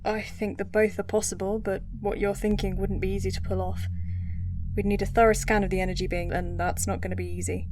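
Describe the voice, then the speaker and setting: A woman's voice: mid-range voice, level tone, brisk, over music, close to an everyday voice. Wry young female space lieutenant, acted by a woman. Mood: focused, wary. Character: young female human military lieutenant, wry, game, out of her time. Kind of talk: audio drama dialogue. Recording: faint background noise.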